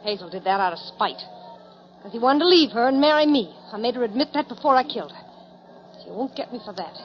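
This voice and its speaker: A cornered young murderess, played by a woman in an old radio detective drama. She is high-voiced and fast, swooping about with defiant melodrama. The recording is dull and dated. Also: animated, natural voice. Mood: defiant, menacing. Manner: agitated, melodramatic.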